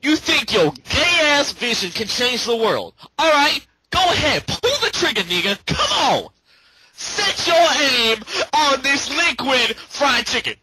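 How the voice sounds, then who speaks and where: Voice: high voice, sing-song, slow pace, full character voice. An amateur fandubber voicing a brash, swaggering male street-gang rebel leader in slangy, profane, bombastic style on a home voice chat. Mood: daring. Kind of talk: amateur comedic fandub, improvised over a Skype call. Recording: clicky, noisy home voice-chat recording.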